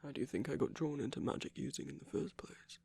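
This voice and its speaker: A man playing a gentle, wry villain who uses magic, speaking softly and haltingly in an intimate ASMR roleplay with a breathy, husky voice. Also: medium pitch, a little hiss or hum. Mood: wistful, confiding. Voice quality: breathy, husky, slightly creaky. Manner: measured, halting, hushed delivery with pauses. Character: gentle, wry villain magic user comforting a loved one.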